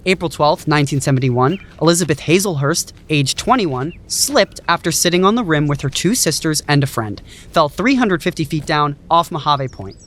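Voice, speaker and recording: A man playing a talkative, bossy blind hiker who recites grim trivia with deadpan pedantry in an audio comedy drama. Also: a little high, moderate pace. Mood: morbid, deadpan.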